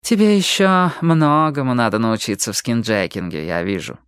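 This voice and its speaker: A woman's voice: extremely low pitch, normal speed, clear, resonant tone, no echo, studio quality. A woman voicing a self-assured young man in an audiobook, nonchalant and slyly condescending. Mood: smug. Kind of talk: audiobook character voice.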